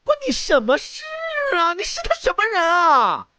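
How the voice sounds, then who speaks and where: A shrill, swooping petulant young man. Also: wildly swooping pitch, moderate pace, sharp tone, no room sound, heavily acted. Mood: scornful, alarmed. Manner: theatrical, petulant. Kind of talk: animated drama dialogue.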